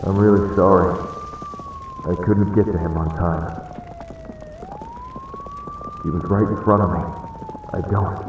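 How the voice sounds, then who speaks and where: A man voicing a reserved, polite, soft-hearted teenage boy hero, restrained and sincere, in an amateur comic dub. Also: low voice, steady tone, a little slow, long pauses, dull audio. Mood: remorseful, concerned. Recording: echoey, distant, sirens and noise behind. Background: sirens.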